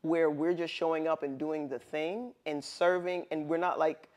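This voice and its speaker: A woman's voice: sits low, steady tone, normal speed, a little mellow. A woman guest in a casual interview, upbeat and earnest, speaking with emphasis.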